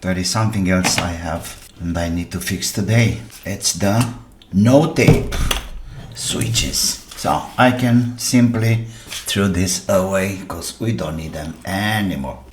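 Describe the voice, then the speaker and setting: A man with a low voice and non-native English talks slowly through a hands-on repair. He thinks aloud in a halting, casual way, over clear background noise with clicks and clatter of parts. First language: non-native English speaker. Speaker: repair channel host.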